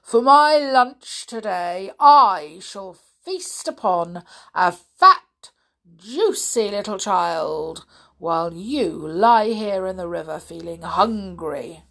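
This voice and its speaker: A woman's voice: mid-range voice, animated, vocal fry, sharp tone, exaggerated voice. A woman voicing a greedy, boastful enormous male crocodile, drawing out her words with relish. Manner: gloating, drawn-out words. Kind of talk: storybook read-aloud.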